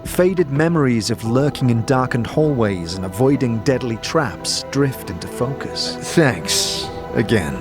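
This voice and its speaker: A man's voice: medium pitch, lively intonation, a little slow, few pauses. A man narrating a fantasy audio drama, reading scripted, dramatic narration. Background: music.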